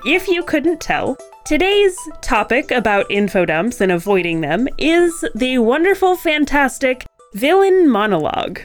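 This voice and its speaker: A woman's voice: sits high, big pitch swings, unhurried, soft background music. A woman co-hosting a podcast, talking conversationally as she explains a craft. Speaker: podcast co-host.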